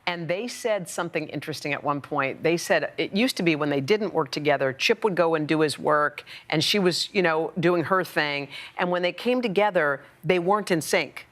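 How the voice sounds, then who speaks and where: Female talk host, low register, casual and conversational, natural storytelling delivery. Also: moderate pace, hardly any pauses, fairly bright tone.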